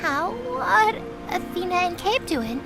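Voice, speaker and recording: A woman voicing an anxious, earnest and excitable young winged goddess girl in an audio drama. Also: extremely high pitch, measured pace, music in the background, heavily acted. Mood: hesitant, earnest.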